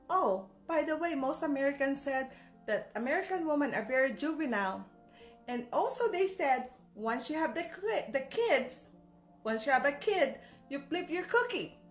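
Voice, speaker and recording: A woman's voice: high voice, expressive, normal speed, echoey room, faint music behind. A Filipino woman with a Filipino accent, scolding emphatically in a ranting video reply. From Philippines.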